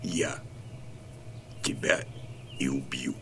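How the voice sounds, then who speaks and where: An actor playing a fierce, grieving old Cossack colonel and father in a theatrical audio drama, declaiming with heavy pauses. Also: a bass voice, gravelly, a little hiss or hum, exaggerated voice. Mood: quiet, cold grief. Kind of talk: theatrical radio drama monologue. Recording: studio drama with loud music behind.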